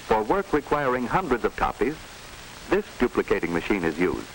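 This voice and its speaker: A low-pitched American man narrates an old instructional film from the 1940s. His scripted delivery moves up and down in a lively way. A light hiss runs under the old soundtrack. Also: moderate pace.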